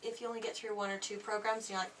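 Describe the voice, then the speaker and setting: A woman's voice: mid-range voice, level tone, very fast, a bit bright. Woman, audiologist, patient and reassuring, far from the mic, noisy clinic room. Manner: patient, reassuring, checks understanding often. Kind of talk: explaining device settings to a patient.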